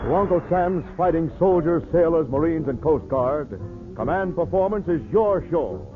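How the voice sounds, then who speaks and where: A man makes a formal wartime radio announcement. His voice sits a little high and is declamatory in the 1940s manner. Music plays clearly behind him on a tinny, narrow broadcast. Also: expressive, moderate pace.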